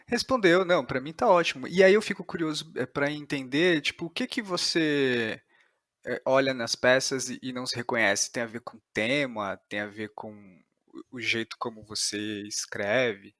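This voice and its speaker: A man's voice: mid-range voice, normal speed, fairly bright tone, no room sound, clean studio sound. A Brazilian man hosting a casual podcast interview, feeling out and rephrasing his questions as he goes.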